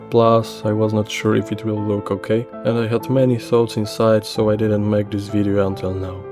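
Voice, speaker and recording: A man from Poland with a Polish accent, a non-native English speaker, narrating a personal story in an earnest, hesitant way. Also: fairly low pitch. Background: faint soft music.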